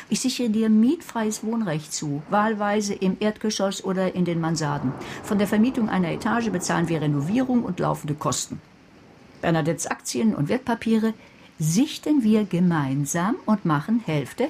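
A low-pitched female voice plays a scheming, nervy woman in a radio drama. She tells her own crime story with dry, sardonic wit. Faint noise sits behind the voice. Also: animated, moderate pace, a little mellow. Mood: bargaining, firm. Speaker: narrator and lead character. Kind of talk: German radio drama, first-person narration and dialogue.

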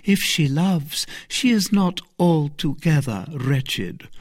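A man voicing a scheming noblewoman who sighs and feigns innocence in a high, husky voice. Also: very animated, unhurried, no room sound, clean studio sound, exaggerated voice. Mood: sly plaintive. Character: scheming noblewoman, sighing and sly. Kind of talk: audiobook character reading.

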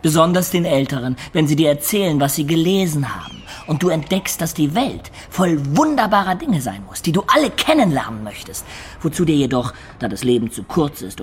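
A man's voice: a little high, slight background noise. An actor playing a medieval adventurer who recounts his student youth with wry, ornate storytelling in a literary radio drama. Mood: earnest, explaining. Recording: studio radio drama with music beds.

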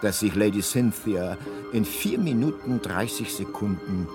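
Radio drama narrator: urbane male guidebook voice, fairly deep, deadpan and measured, clear music bed. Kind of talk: scripted radio play narration.

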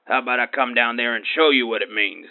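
A man's voice: mid-range voice, fast, close to an everyday voice. A man playing a bigoted, blustering middle-aged radio caller who rants and threatens, heard over a dull lo-fi line. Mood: threatening. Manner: ranting, threatening, pompous.

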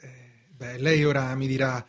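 An actor playing a timid, apologetic clerk in a radio play, hesitant and stammering, with sudden bursts of bravado. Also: male voice, mid-range voice, flat delivery, unhurried, close to an everyday voice. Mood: hesitant, uneasy. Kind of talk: theatrical radio drama acting.

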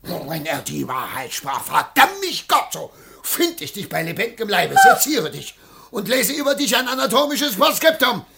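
A greedy merchant husband with a high, gravelly voice. He is jealous and hot-tempered, exclaiming theatrically and slyly, as in a classic radio play. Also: big pitch swings, moderate pace, very noisy, exaggerated voice. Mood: jealous rage. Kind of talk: German radio play acting.

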